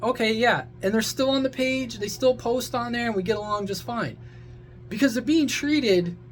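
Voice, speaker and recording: A man's voice: extremely high pitch, slight background noise. A man giving a casual, opinionated rant to his viewers, blunt, sarcastic, crude and exasperated. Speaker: social media creator and CB radio hobbyist.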